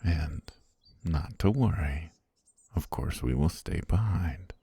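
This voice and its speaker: A man performs an obsessive butler who keeps his princess captive, speaking with polished, sweet menace. The voice is extremely deep and very husky, breathy and often near a whisper. It is close and dry, made for intimate fantasy ASMR roleplay. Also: lively intonation, slow pace, mellow, dry recording, heavily acted. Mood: quietly menacing.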